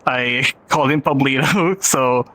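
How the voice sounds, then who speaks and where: A man's voice: medium pitch, slow. A man with a Filipino accent, presenting at a convention panel with frequent laughs and fillers. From Philippines. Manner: giggly, relaxed, many fillers. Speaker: panel host, filmmaker.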